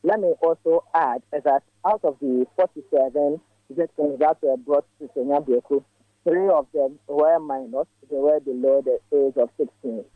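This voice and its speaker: A man reports live from the field, his fairly high voice squeezed through a tinny, dry phone line. He speaks in a measured way, pausing often on fillers as he gives the details. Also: no echo. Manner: measured, with frequent filler pauses.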